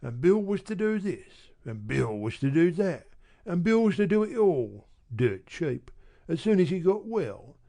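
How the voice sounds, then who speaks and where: A man's voice: fairly high pitch, sing-song, measured pace, warm tone. A man reading a surly, bitter housebreaker. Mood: disgusted. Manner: growling, sullen, demanding. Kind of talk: audiobook character reading.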